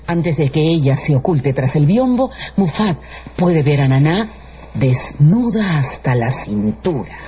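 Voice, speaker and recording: A man's voice: a little high, sing-song, unhurried, darkish tone, a little hiss or hum. A man giving dramatic scripted narration for a radio drama. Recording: dull lo-fi broadcast with music behind.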